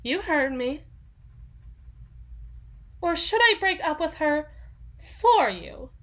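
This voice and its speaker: Woman performing a possessive, conniving young woman, high voice, slow haughty delivery, ASMR roleplay drama, sardonic. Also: lively intonation, a bit bright, full character voice. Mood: taunting, possessive. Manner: theatrical, drawn-out emphasis, haughty and sardonic. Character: domineering, conniving, possessive young woman, jealous would-be lover.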